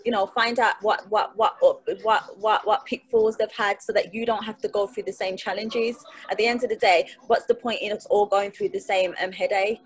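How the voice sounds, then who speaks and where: A woman's voice: mid-range voice, steady tone, normal speed, hardly any pauses, rough recording. A woman, speaking as a guest on a video call from her living room, talking in an emphatic, motivational way and repeating phrases for stress. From England. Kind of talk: casual video call interview. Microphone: webcam or laptop mic on a video call.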